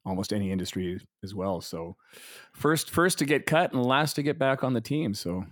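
A man's voice: lowish voice, expressive, normal speed, fairly bright tone, dry recording, clean studio sound. A middle-aged man from Canada, a guest in a casual interview, talking in a chatty way with frequent laughs and repeated words. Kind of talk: casual conversation.

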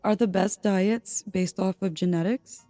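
A woman's voice: medium pitch, steady tone, unhurried, mellow, no room sound, soft background music. Upbeat woman podcast host. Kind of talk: podcast interview hosting.

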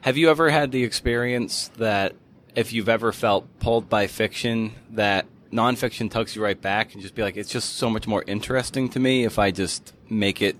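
Casual, lowish-voiced man hosting an interview. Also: normal speed, fairly bright tone, slight background noise. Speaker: podcast host, interviewer.

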